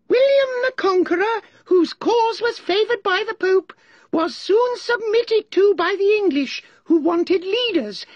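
A man's voice: very high-pitched, dry recording, dull audio, pitched above the performer's own voice. A man voicing a self-important, easily offended mouse who lectures in a prim, pompous way. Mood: pompous, commanding.

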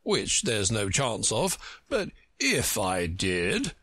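A man voicing a pompous, testy egg-man from a storybook, with a haughty, growling, drawn-out delivery. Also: lowish voice, wildly swooping pitch, a bit bright, slightly rough, no room sound, studio quality, exaggerated voice. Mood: grand, dismissive. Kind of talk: storybook character reading.

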